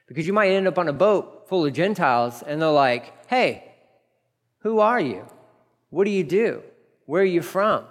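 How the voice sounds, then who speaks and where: Animated man preaching in a hall. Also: fairly high pitch, moderate pace. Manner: animated, acts out dialogue with laughs. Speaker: pastor. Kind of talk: verse-by-verse sermon.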